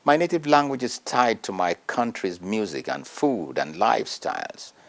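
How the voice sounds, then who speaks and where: A man reading a prepared essay aloud in a measured, earnest way. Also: mid-range voice, sing-song, vocal fry, fairly bright tone. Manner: measured, earnest oratory with emphatic rises.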